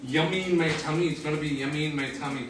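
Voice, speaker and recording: A man's voice: a little high, very fast. A man bantering and teasing playfully while cooking in a large home kitchen, filmed by a phone across the room. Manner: teasing, animated, laughs often. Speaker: home cook filming a cooking video. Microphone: phone camera across the room. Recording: echoey kitchen, mic far off.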